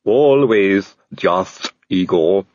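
A man voicing a calm male odd-job servant, stitched together from many men, speaking slowly and politely. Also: deep voice, no room sound, dull audio, heavily acted. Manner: slow, unruffled, oddly polite.

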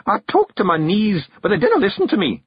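A bumbling, bearded Scottish stranger from a storybook, pitched high with a rough growl. He blusters and bosses, stumbling over his words and correcting himself. The delivery swoops about, and the audio is slightly dull. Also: wildly swooping pitch, dry recording, full character voice. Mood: grumbling. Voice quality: growly, snarling. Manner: blustering, halting, self-correcting, bossy. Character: bearded bumbling bossy stranger, tiny folk disguised as one man.

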